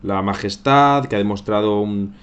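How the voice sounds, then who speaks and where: Deep-voiced man from a casual news livestream. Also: normal speed, a bit bright, dull audio. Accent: Spain. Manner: conversational, repeats and rephrases phrases. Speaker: host of a current affairs livestream. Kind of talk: casual live news commentary.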